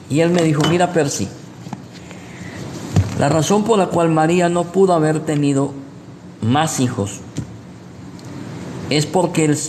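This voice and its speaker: A man's voice: a little high, darkish tone. A man with a Guatemalan accent preaching in a didactic way, repeating his points and checking in with short rhetorical questions. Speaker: pastor, preacher. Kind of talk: sermon, teaching with personal anecdotes. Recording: echoey hall with long reverb, background noise.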